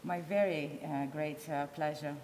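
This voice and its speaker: A low-pitched, middle-aged woman introduces a speaker at a conference, pausing often with ums and uhs. She speaks into a handheld mic at a lectern, and her voice rings through a large hall with a long, roomy reverb. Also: steady tone, a little mellow, a little hiss or hum. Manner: halting, with frequent ums and uhs. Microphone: handheld microphone.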